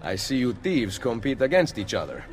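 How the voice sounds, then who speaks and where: An actor playing a calm, resolute Italian assassin leader in a dramatic video game scene. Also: male voice, medium pitch, normal speed. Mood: earnest, firm.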